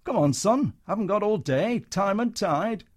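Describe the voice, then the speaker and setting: A man's voice: fairly high pitch, very animated, moderate pace, pitched above the performer's own voice. A man voicing a blustering comedian who leads a troupe, hectoring and then wheedling. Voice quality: loud, hectoring.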